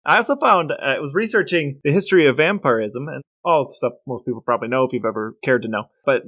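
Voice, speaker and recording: A man's voice: mid-range voice, fast talker, bright, forceful tone, no echo. A man with an American accent sharing stories in casual podcast conversation, with dry, understated humor. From Elmore, Ohio, United States. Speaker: podcast co-host. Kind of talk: casual chat with researched stories.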